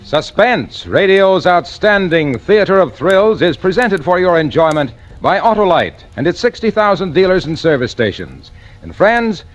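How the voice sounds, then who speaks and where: An American man delivering a peppy, folksy scripted advertisement as a 1940s radio announcer. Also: on the high side. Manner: folksy salesmanship. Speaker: commercial announcer. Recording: dull, muffled vintage radio recording.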